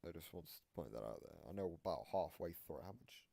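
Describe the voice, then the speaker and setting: Low, mellow man explaining casually. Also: lively intonation, quick pace, creaky. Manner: explanatory, interpreting lines aloud. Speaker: co-commentator. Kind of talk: casual analytical commentary.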